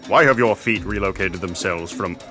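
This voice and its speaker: A man's voice: deep voice, normal speed, music in the background, natural voice. An actor playing a droll, poetic male archaeologist in audio theatre, speaking in a formal, dryly teasing way.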